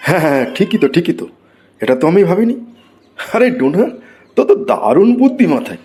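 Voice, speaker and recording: A man voicing an excitable, exasperated schoolteacher who scolds and exclaims in a comic cartoon. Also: sits high, quick pace, soft background music. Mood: excited. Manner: scolding, exclamatory, mock-praising. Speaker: cartoon voice actor. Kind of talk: comic Bengali cartoon dialogue.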